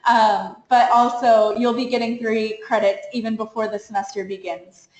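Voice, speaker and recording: A woman's voice: a little high, level tone, moderate pace, darkish tone. Upbeat woman presenting in an echoey room. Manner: upbeat, explanatory walk-through of slides. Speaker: study abroad program coordinator. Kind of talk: webinar presentation.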